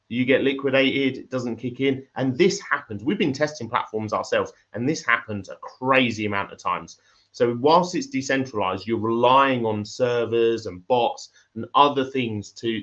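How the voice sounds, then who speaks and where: A man, a crypto project founder, talking in a livestream interview with a croaky voice from illness, often repeating words and restarting his sentences. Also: medium pitch, moderate pace, a bit bright, highs cut off.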